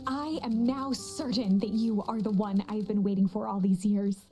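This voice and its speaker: A woman playing a dramatic, playful storybook narrator in a video game, with a coy, deliberate delivery. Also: mid-range voice, fairly even intonation, dark tone, natural voice. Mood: coy, theatrical. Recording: music under the voice. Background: guitar and instrumental music.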